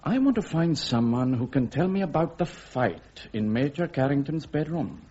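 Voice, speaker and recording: An actor playing a pushy, insinuating newspaper reporter, ingratiating and sly, in a radio drama. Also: male voice, mid-range voice, very animated, moderate pace, mellow, slightly rough. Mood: sly, probing. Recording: dull lo-fi radio drama recording.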